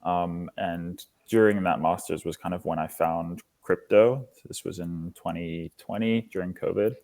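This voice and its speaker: Man, twenties, from Singapore, deep low-pitched voice, interview guest, explanatory conversational answers. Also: steady tone, normal speed, darkish tone. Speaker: interview guest, foundation lead.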